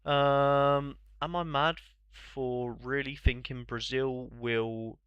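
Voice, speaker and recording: A man's voice: mid-range voice, steady tone, slow pace, clean tone, no room sound, highs cut off. A young man with a bunged-up, congested voice, chatting casually on a live stream and answering viewers' questions in a rambling way.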